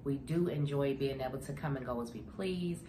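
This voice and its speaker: Low-voiced, chatty, stuffy woman vlogger. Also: brisk. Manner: chatty, talks to viewers as "girl". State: stuffy nose. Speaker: vlogger answering viewer questions. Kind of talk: casual chat, storytelling. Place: indoor room, seated before framed print. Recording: echoey room with background noise.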